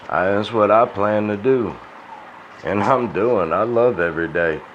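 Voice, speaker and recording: A man's voice: fairly low pitch, a little slow. A man rambling in a casual, profane, folksy monologue, sounding tired and dry-mouthed. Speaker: crypto video host. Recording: dull lo-fi sound with faint hiss.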